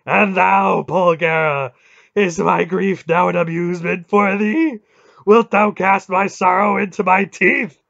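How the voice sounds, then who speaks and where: A man voicing a vast, grieving and vengeful god with loud, archaic, menacing speech in a storybook reading. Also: mid-range voice, slow, gravelly, dry recording, lo-fi sound. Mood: raging. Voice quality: loud, roaring, howling.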